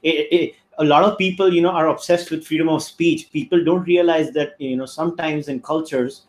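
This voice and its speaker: A man's voice: on the high side, steady tone, brisk. A man hosting a podcast, asking long, winding questions in a loose, conversational way. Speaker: podcast host. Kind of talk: casual interview chat. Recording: echoey room.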